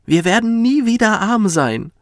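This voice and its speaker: A man's voice: sits high, lively intonation, measured pace, no room sound, clean studio sound, pitched above the performer's own voice. A man voicing a boy's emphatic mother in a children's fairy tale. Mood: delighted. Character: poor boy's mother, emphatic storybook woman.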